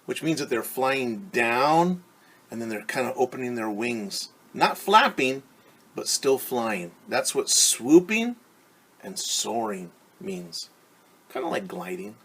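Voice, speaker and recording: A man reading a picture book aloud as a teacher, pausing to ask children questions and explain things simply. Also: medium pitch, very animated, a bit bright, husky.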